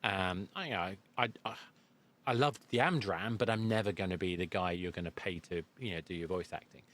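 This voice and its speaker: Male podcast host, lowish voice, conversational, feels his way into each question. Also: a little fast, fairly bright tone. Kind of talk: conversational interviewing.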